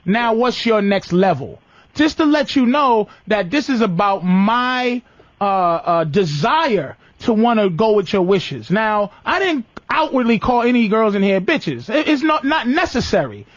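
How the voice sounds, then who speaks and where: A very high-voiced American man holds forth as a talk show host, blunt, profane and teasing. The sound is dry and close, slightly dulled at the top. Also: sing-song, measured pace, no echo, highs cut off. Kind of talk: comedic advice talk with callers.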